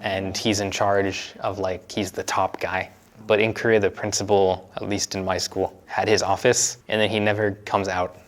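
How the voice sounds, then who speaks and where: A young man from the United States chatting casually in an interview, relaxed and often laughing as he talks. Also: low-pitched, normal speed. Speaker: interviewee, university student.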